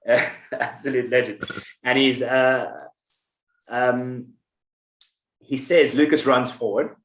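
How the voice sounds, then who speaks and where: A man's voice: medium pitch, steady tone, takes it slowly, a bit bright, roomy sound, a little hiss or hum, low-quality recording. A man hosting a podcast in casual chat, rambling and telling stories with many restarts. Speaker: podcast host.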